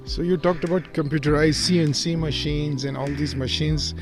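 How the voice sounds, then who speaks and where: A man's voice: fairly high pitch, steady tone, moderate pace. A man hosting an interview, who speaks hesitantly with many fillers. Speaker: host. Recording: music playing underneath.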